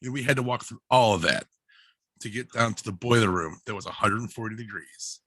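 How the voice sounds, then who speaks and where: A man with a low, forceful, raspy-edged voice chats informally on a video call. He tells personal anecdotes with animated, slangy phrasing. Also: a little fast, dry recording. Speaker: guest, barber and livestreamer. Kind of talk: casual personal anecdotes in a group video chat. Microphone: headset on a video call.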